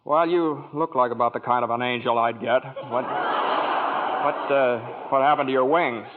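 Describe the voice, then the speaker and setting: A despairing husband and father played by a man, halting and stammering over his words. His voice sits at an ordinary pitch. It comes through narrow and tinny, like an old radio broadcast. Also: fairly even intonation, very noisy, close to an everyday voice. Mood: bewildered. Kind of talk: 1940s radio acting.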